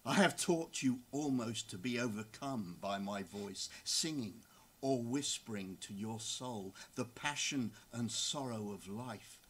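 A tender, adoring lover of the early 1900s reads his poetic love letter. The male voice is mid-pitched and very unhurried. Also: lively intonation, fairly bright tone, slightly rough. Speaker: actor reading letters. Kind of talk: dramatic reading of a love letter.

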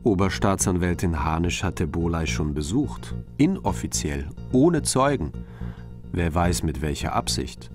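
Cautious, suspicious male investigator, deep but varied voice, crime radio drama. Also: expressive, a little slow, music in the background. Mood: wary.

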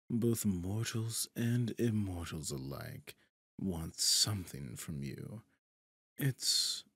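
A man playing a smug, possessive devil in a roleplay audio drama, suave and pompous, with a breathy, husky delivery that often drops toward a whisper. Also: low voice, no room sound, clean studio sound, heavily acted. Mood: haughty exasperation. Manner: theatrical, silky, pompous, drawn-out phrasing. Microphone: close, dry studio microphone.

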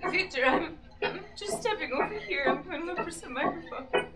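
Actress as blindfolded young mother, anxious, dramatic radio-play delivery, high register, recorded far from the mic over live music. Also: lively intonation, moderate pace, heavy background noise. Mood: urgent, anxious. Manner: urgent, dramatic. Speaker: actor in a live staged reading. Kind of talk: old-time radio play acting. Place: magazine-lined shop, indoors, small live audience. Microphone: camera in the audience, some distance away. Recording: distant mic, loud live instrumental music. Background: live violin and keyboard music.

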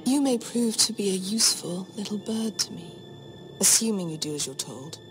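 An actress playing a cold, shrewd queen in a fantasy game, imperious and condescending in measured speech. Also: medium pitch, lively intonation, faint music behind, natural voice. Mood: scheming. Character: cold, shrewd, commanding adult queen, veiled threats. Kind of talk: dramatic fantasy game acting.

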